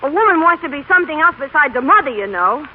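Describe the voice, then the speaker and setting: Radio drama: brassy, slangy American mother, very high and animated, on a tinny recording. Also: fast talker, slight background noise. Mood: harsh, defensive. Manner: slangy, brassy, folksy grammar. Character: brash, coarse working-class mother, hiding heartbreak. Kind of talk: 1930s-40s radio drama acting.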